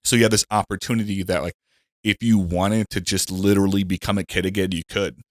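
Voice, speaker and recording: Low-voiced joking man in his thirties. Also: level tone, fairly quick, no echo, studio quality. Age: 30s. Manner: joking, loose, swears casually. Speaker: podcast host. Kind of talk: casual comedic chat.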